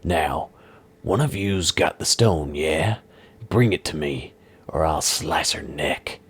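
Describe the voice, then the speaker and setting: A man voicing a gruff, menacing kidnapper who threatens in a slow, hissing half-whisper. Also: a bass voice, pitched below the performer's own voice, exaggerated voice. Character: gruff menacing male kidnapper, knife-wielding thug. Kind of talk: audiobook reading.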